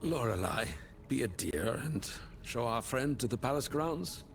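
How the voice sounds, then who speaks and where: A man's voice: medium pitch, lively intonation, unhurried, gravelly, slight background noise. An actor playing a courteous, theatrical man in a fantasy game. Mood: courteous, regretful. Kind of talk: scripted game voice acting.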